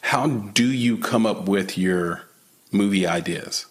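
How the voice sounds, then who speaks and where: A low-voiced man hosts a casual interview. He talks in a halting, rambling way, full of fillers and restarts, and laughs easily. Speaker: podcast host, interviewer.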